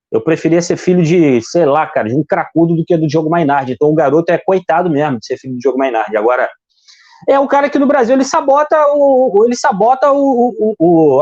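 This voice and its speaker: A man's voice: on the high side, warm tone. A Brazilian man with a slightly raised voice talks politics in a heated, rambling way. He stumbles and repeats words as he hunts for the next thought. The stream audio sounds dull and lo-fi. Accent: Brazilian Portuguese. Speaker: commentator on a live stream. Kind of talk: casual political commentary.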